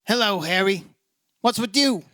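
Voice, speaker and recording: A man's voice: sits high, animated, unhurried, bright, forceful tone, dry recording, heavily acted. A man playing a wry, breezy insurance investigator in 1950s-style radio detective drama, dry and wisecracking. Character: wry, breezy male insurance investigator, hard-boiled but kindly.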